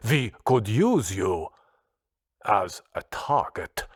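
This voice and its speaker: A towering, stern gym teacher from a storybook, acted out by a male narrator. He has an Austrian accent and speaks slowly and emphatically, growling with tightly held exasperation. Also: lowish voice, very animated, dry recording, clean studio sound, exaggerated voice. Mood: forced pleasantness. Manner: growling, slow, emphatic, restrained.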